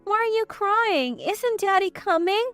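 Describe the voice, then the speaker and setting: Woman voicing a very high, innocent little girl. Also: animated, a little slow, fairly bright tone, faint music behind, exaggerated voice. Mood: concerned, loving. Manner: childlike, innocent. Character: sweet, caring little girl.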